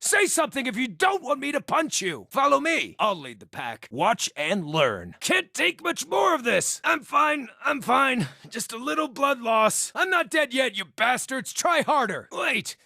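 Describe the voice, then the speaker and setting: A crude, sardonic druid of the wilds from a fantasy video game. His voice sits high and moves in big theatrical swings, full of wry bite. The recording is dry and close. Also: big pitch swings, unhurried, vocal fry, hardly any pauses, bright, forceful tone, no room sound, full character voice. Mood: taunting, then pained, desperate. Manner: wry, theatrical, flowery insults. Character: sardonic, crude wild druid hunter, adult man.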